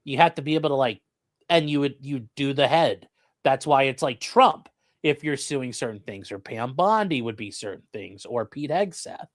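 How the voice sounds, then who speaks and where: A man's voice: mid-range voice, lively intonation, normal speed, dry recording, studio quality. A man hosting a live stream, reading out viewer questions and giving casual legal commentary with wry, joking asides.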